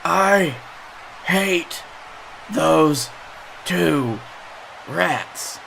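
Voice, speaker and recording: A male color commentator with a creaky, slightly high voice. He delivers comic wrestling commentary in a wry, mock-dramatic way. Light background noise sits under the voice. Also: lively intonation, drawn-out words, fairly bright tone.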